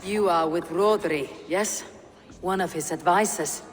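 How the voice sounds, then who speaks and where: A woman's voice: on the low side, natural voice. Woman as a stern Viking negotiator, echoing hall. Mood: measured, persuasive. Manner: measured, formal, archaic phrasing. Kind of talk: video game cutscene acting. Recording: reverberant hall with music behind.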